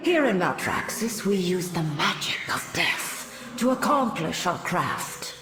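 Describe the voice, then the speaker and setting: A woman's voice: fairly low pitch, sing-song, takes it slowly, noisy background. An actress playing an ancient, imperious female necromancer lord in a video game, speaking haughtily and formally. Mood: lofty, instructing. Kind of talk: video game cutscene acting. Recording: echoey long reverb, loud music behind.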